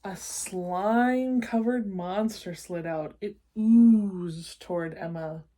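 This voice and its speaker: A woman reads a picture book aloud at a very unhurried pace. Her voice sits at a middle pitch, and she is expressive, pausing to explain things and ask young listeners questions. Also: slight background noise. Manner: animated, explanatory, checking in with listeners. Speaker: read-aloud storyteller for children. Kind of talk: expressive storybook narration with asides to young listeners.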